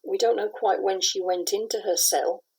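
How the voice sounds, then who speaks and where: A middle-aged woman from the west of Scotland, a playwright answering interview questions in a thoughtful, measured way, pausing and restarting as she talks. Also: mid-range voice, fairly even intonation, a little mellow, husky, rough recording.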